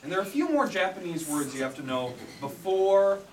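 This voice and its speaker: An animated male storyteller leads a live children's show, his delivery swinging widely in pitch. He sounds a little away from the mic in an echoey room, with faint noise around him. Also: brisk, recorded from a distance. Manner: animated, prompts children to repeat lines. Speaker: puppet theater storyteller and director.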